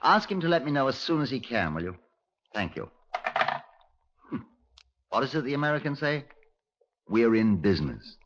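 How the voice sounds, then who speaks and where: An actor playing a dry, authoritative middle-aged Scotland Yard inspector with a British accent in a 1950s radio drama. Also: male voice, medium pitch, sing-song, normal speed, long pauses, heavy background noise, close to an everyday voice. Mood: brisk, authoritative. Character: measured, wry, authoritative male Scotland Yard police inspector. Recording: dull, lo-fi 1950s radio recording.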